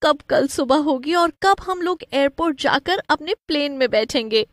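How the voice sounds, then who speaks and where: A woman as young cartoon wife, high voice, excitable, anxious, exclaiming, dry studio sound. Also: moderate pace, no echo. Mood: excited. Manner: emotional, exclaiming.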